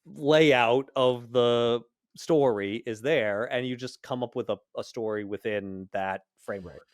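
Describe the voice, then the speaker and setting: A man's voice: medium pitch, unhurried, dry recording. A man in casual, opinionated geek conversation on a podcast, talking bluntly. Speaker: podcast panelist.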